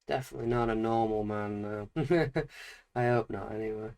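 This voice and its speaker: Croaky Northern English man chatting. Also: fairly low pitch, moderate pace. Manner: relaxed, chatty, laughs often. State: croaky from a slight hangover. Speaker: streamer. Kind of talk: casual livestream chat while drawing.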